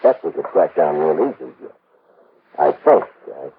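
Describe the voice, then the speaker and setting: An actor playing a grumbling, harried big-city police lieutenant in a 1950s radio crime drama, blustery and colloquial. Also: male voice, extremely low pitch, very animated, normal speed, husky, full character voice. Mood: explaining. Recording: tinny, hissy vintage radio recording.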